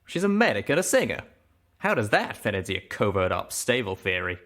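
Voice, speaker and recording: Man doing a folksy cowboy pony, lively pitch. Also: a little fast. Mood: amused, teasing. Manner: folksy, emphatic. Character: folksy loyal male pegasus pony, drawling and defensive.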